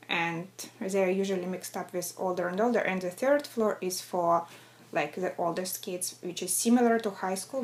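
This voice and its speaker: A woman's voice: mid-range voice, a little mellow, slight background noise. Russian-accented woman in her thirties. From southern Russia. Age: 30s. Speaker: interviewee. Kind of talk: personal interview about own schooling and life.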